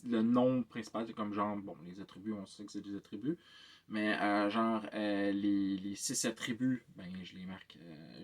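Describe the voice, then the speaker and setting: A man with a Québécois French accent, giving a casual, rambling vlog update as a tabletop game master. He sounds very tired and hesitates often, with many filler sounds and repeated words. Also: fairly low pitch.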